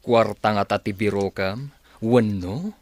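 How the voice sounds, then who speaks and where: A man's voice: on the low side, measured pace, a little mellow, no echo. A Filipino man playing a stern, jealous young husband in a radio drama, curt and commanding. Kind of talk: Philippine radio drama acting.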